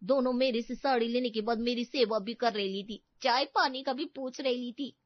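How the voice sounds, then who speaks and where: A woman's voice: fairly high pitch, normal speed, dry recording, muffled recording, natural voice. A woman voicing a cheeky, scheming housemaid with attitude in a cartoon moral story. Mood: gloating.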